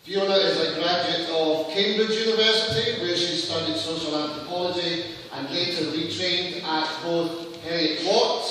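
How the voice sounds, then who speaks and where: Bright, high-pitched man in an echoey hall. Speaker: meeting chair introducing a speaker. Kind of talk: scripted formal introduction. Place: school hall at a public meeting. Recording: echoey hall, distant mic, faint noise.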